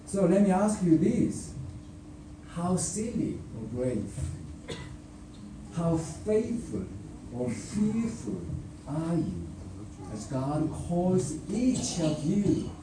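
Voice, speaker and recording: A man preaching a sermon and telling a personal mission story, speaking deliberately with pauses and filler words. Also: fairly high pitch, sing-song, recorded from a distance, background noise. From raised by a North Korean father. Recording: echoey hall, speaker away from the mic.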